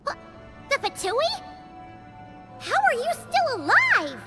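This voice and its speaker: Teeny squeaky game sprite, super high and swoopy, excitable, loud music behind. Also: female voice, takes it slowly, heavily acted. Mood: shocked. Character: tiny, squeaky, startled childlike female sprite companion. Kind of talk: video game voice acting.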